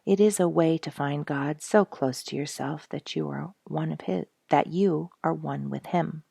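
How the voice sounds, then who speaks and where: A woman's voice: low-pitched, a little slow, vocal fry, mellow, husky, no room sound, clean studio sound, exaggerated voice. A woman reading aloud as a humble, reflective male soldier, earnest and full of wonder, in a storybook letter. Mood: earnest conviction.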